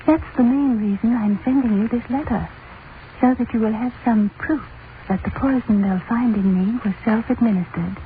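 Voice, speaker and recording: Brief: actress as a tired young woman's letter voice, slightly high, tinny hissy old broadcast. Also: moderate pace, lightly acted. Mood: resigned. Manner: quiet, resigned letter reading. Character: weary young woman writing her suicide note.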